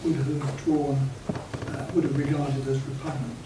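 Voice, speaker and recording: A man on an academic panel speaking in a measured, scholarly way. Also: medium pitch, flat delivery. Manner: measured, scholarly, clears his throat. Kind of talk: academic round-table discussion. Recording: echoey hall, distant mic, background noise.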